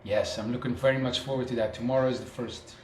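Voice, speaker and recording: Dutch guy, quiet thoughtful talk, echoey room. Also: mid-range voice, fairly even intonation, quick pace. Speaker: podcast co-host. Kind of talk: reflective conversational talk. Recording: echoey room with faint noise.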